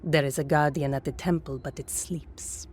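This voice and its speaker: A woman's voice: low-pitched, fairly even intonation, normal speed, a little mellow, breathy edge. A woman voicing a firm, commanding warrior in a game cutscene. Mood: stern. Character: stern warrior woman guide.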